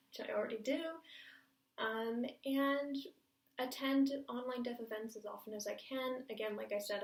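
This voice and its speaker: A woman vlogging to camera about her language-learning goals, talking casually and hesitantly with many fillers and pausing to check herself. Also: fairly high pitch. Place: home room, cars outside. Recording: echoey room, voice a bit far off.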